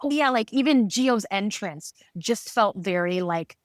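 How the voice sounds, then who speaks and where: A woman's voice: medium pitch, lively intonation, measured pace, dry recording. A woman with a mid-range voice hosts a casual interview. She sounds enthusiastic and chatty. The recording is dry and close. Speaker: podcast interviewer.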